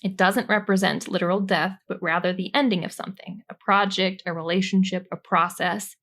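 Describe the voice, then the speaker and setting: A woman's voice: mid-range voice, steady tone, a little fast, a bit bright. A woman co-hosting a podcast, explaining tarot cards in an informal, jokey, giggly way that moves between reading notes and casual chat. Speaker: podcast co-host.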